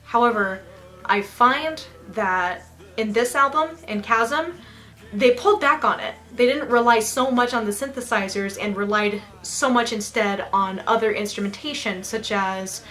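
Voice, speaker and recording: A young woman with a slight lisp reviewing a goth album in a casual, bubbly and dramatic way, a little sniffly and rough from allergies. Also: fairly high pitch, measured pace, faint music behind. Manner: bubbly and dramatic, squeals with excitement.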